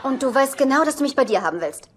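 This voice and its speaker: An actress playing an assertive, house-proud girlfriend with a brisk, matter-of-fact manner in a dubbed sitcom. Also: sits high, lively intonation. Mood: wry. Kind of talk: German-dubbed sitcom dialogue. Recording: noisy TV soundtrack with laugh track.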